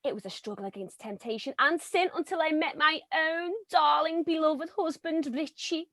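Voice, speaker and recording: An actress playing a brash, gold-digging young widow with a Liverpool accent, melodramatic and cheeky. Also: female voice, high-pitched, moderate pace, sharp tone, no room sound. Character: brassy gold-digging young widow faking exotic roots. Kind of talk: stage comedy acting.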